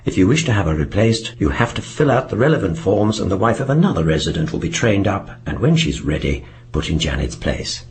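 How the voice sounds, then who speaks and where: An actor playing a cool, bureaucratic intelligence superior in a radio drama, speaking in a clipped, officious way. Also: male voice, deep voice, big pitch swings, fairly quick, hardly any pauses. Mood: cold, firm.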